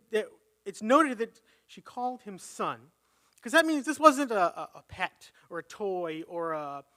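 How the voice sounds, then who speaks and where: A man's voice: high voice, a bit bright. A man preaching a conversational sermon at a live church service, reading scripture and thinking aloud as he corrects himself.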